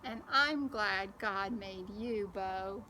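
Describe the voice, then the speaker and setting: A woman's voice: mid-range voice, very slow, background noise, voice pushed down. A woman voicing a patient, playful girl who explains things to her younger cousin in a children's storybook. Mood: patient, explaining.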